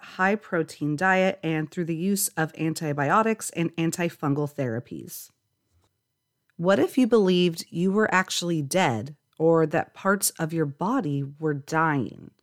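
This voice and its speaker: A woman reading a scripted documentary narration in an even, informative way. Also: fairly low pitch, measured pace.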